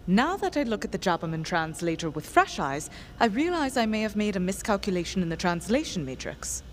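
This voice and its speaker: A woman plays an idealistic young female scientist in a video game. She is earnest and excitable, rambling and second-guessing herself with a very animated melody. There is faint noise behind her. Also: mid-range voice, brisk, natural voice. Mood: self-doubting, musing. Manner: rambling, self-questioning.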